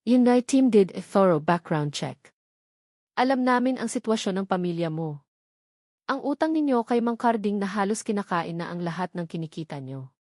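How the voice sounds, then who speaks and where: A woman's voice: mid-range voice, lively intonation, normal speed, warm tone, no room sound, studio quality, close to an everyday voice. A woman voicing a cold, calculating rich young businessman in a romance audio drama, with a clipped, emotionless manner. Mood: blunt, calculating.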